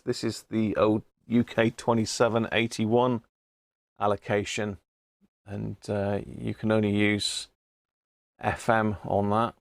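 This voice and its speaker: A man's voice: mid-range voice, no echo, studio quality. A British man hosting a casual hobby livestream, rambling and thinking aloud with chummy asides to viewers. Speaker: livestream host, CB radio hobbyist. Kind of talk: casual live chat to viewers. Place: indoor radio room.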